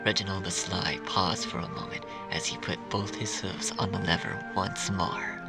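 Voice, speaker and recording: A low-voiced man narrates a scripted story. His delivery has a gravelly fry to it, and music plays underneath the reading. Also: fairly even intonation, moderate pace. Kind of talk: scripted fan fiction audiobook narration.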